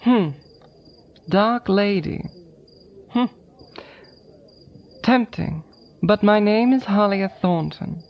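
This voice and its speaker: An actress playing a cold, commanding noblewoman who speaks slowly and condescendingly. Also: mid-range voice. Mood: composed. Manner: slow, measured, condescending. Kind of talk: audiobook drama acting. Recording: muffled with faint music.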